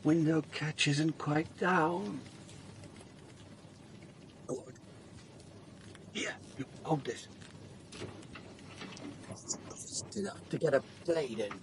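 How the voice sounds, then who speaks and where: An actor playing an observant, brusque police inspector in a radio drama, with deliberate, clipped phrasing and a wry touch. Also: male voice, fairly high pitch, lively intonation, background noise, full character voice. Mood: terse, probing. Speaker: lead actor and narrator. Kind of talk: radio drama acting and first-person narration.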